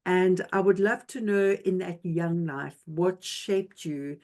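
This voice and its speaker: A woman originally from South Africa hosts an interview podcast, speaking in a fairly low voice. She reads her guest's introduction with emphatic, effusive warmth, then asks loose questions full of "you know.". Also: slow. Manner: emphatic, effusive delivery with many fillers. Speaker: podcast host.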